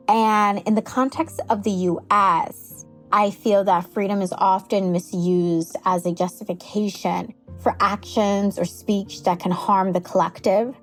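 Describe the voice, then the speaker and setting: A woman's voice: medium pitch, a little mellow. A woman who works as a social media coordinator, reading a thoughtful personal reflection.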